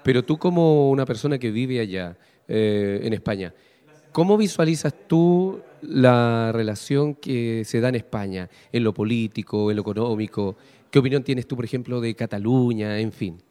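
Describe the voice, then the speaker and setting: A man's voice: medium pitch, moderate pace, warm tone, clear, resonant tone. A Chilean man hosting a radio interview, asking long, probing questions full of fillers. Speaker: radio host. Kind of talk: interviewing a guest.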